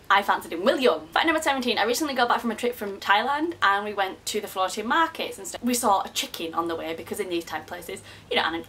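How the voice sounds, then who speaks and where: A woman's voice: mid-range voice, fairly bright tone. A woman in her early twenties talking casually to camera in a self-mocking, chatty vlog, with frequent swearing. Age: 20s. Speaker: YouTuber.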